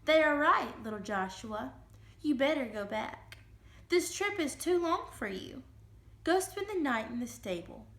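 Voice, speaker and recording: Firm shepherd, played by a high-voiced woman. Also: lively intonation, a little slow, fairly bright tone. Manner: firm, dismissive. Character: dismissive male shepherd, firm authority. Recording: roomy, echoing room sound.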